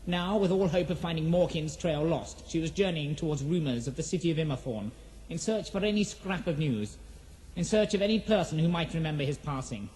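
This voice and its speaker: A man's voice: fairly high pitch, lively intonation, brisk, darkish tone. A man narrating a fantasy audio drama in a solemn, theatrical storytelling manner.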